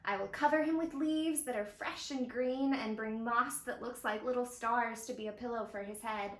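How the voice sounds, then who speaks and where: A woman's voice: fairly high pitch, normal speed, a bit bright, natural voice. A woman voicing an eager, imaginative young boy from a picture book, earnestly and confidently explaining plans. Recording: roomy echo of a home interior.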